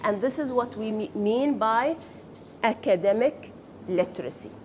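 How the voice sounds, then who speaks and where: A woman's voice: on the high side, lively intonation, a little slow, darkish tone. Woman lecturing a class, faint room noise. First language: Arabic. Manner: asks students questions, then praises answers. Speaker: teacher.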